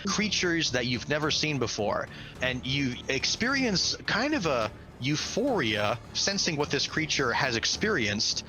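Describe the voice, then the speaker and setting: A man's voice: fairly high pitch, big pitch swings, measured pace, pushed and bright, rough recording. A man working as game master, narrating a tabletop game with an enthusiastic, slangy and dramatic delivery. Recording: music behind the voice. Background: background music.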